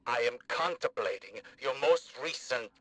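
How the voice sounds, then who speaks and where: An actor playing a stern, driven older scholar-warrior in a video game, speaking in a formal, clipped, intense way. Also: male voice, medium pitch, animated, normal speed, fairly bright tone, soft background music, lightly acted. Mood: stern, frustrated.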